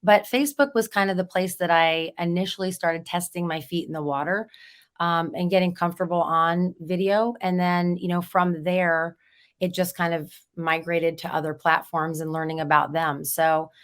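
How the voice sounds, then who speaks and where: Hoarse woman, lowish voice, chatty storyteller, casual interview guest. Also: steady tone, moderate pace. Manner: chatty, storytelling, laughs easily. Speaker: real estate agent, podcast guest.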